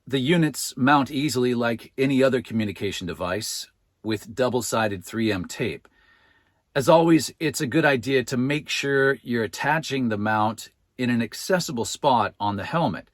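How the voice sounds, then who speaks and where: A man's voice: medium pitch, lively intonation, normal speed, no echo. Dry, close-miked man narrating a review. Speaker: product reviewer and channel host.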